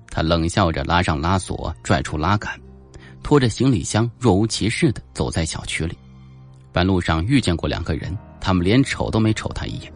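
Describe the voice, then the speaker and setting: Deep-voiced guy narrating a suspense audiobook, really animated and dramatic, music behind.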